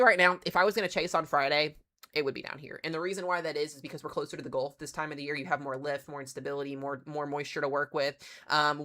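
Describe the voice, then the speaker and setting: A man hosting a casual live weather briefing, sounding congested from strep throat, chatty and excitable with plenty of fillers. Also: a little high. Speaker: weather livestream host.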